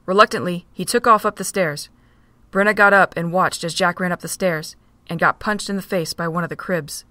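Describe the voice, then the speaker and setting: A woman narrating a fan audio drama in a steady reading voice. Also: fairly low pitch, fairly quick, a little hiss or hum. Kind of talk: storybook narration.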